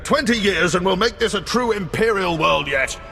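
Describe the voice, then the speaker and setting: Zealous male loyalist for a game: forceful, bright, confident, long reverb. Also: sits high, lively intonation, normal speed, creaky, faint music behind, full character voice. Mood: proud. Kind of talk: dramatic video game acting. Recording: long reverb, faint noise.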